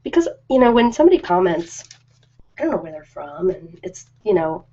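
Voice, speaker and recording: A woman's voice: on the low side, big pitch swings, a little fast, mellow, husky. A woman chatting casually and informally on an ASMR live stream, rambling from one thought to the next. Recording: dull lo-fi live-stream audio.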